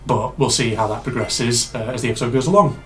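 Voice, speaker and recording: Chatty man, slightly hoarse. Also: medium pitch, quick pace, a bit bright, faint music behind. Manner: rambling, chatty, many fillers and asides. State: slightly hoarse and congested with a cold. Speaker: reaction video host. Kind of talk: casual reaction commentary.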